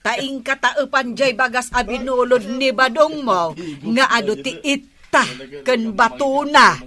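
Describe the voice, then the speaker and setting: An actress with an Ilocano Filipino accent playing an indignant, gossiping neighbour who scolds and exclaims in a radio comedy drama. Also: female voice, high-pitched, a little slow, bright, forceful tone.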